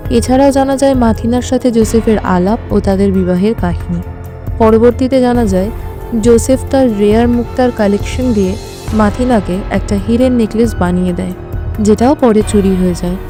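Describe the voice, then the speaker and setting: A woman's voice: on the high side, normal speed, dark tone. A woman presenting an audio story series with a scripted introduction and recap. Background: music.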